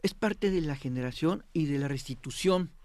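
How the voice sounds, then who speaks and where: A man's voice: a little high, moderate pace, darkish tone, no room sound. A man speaking as an expert guest in a studio interview, explaining with emphasis and often checking that the listener follows. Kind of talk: interview commentary on politics.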